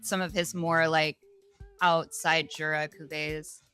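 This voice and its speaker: A woman with a bright voice pitched a little low speaks as an interview guest. She explains casually and easily, thinking out loud. There is faint music behind her. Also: level tone, slow. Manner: easygoing, thinking aloud. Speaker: guest, wine bar owner.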